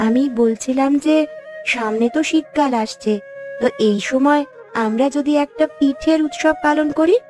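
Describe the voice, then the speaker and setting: Female actor as village girl, polite, sincere, high voice, children's cartoon dialogue, soft background music. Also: fairly even intonation, moderate pace, natural voice. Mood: hopeful, suggesting. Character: polite, earnest village girl with bright ideas. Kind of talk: Bengali fairy-tale cartoon dialogue.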